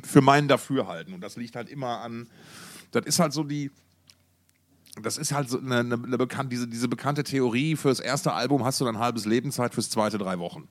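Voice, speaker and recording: A man with a medium-pitched voice co-hosts a relaxed music podcast. He talks in colloquial, slangy language, piecing his thoughts together aloud in broken, repeated phrases. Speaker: podcast co-host. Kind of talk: casual music podcast chat.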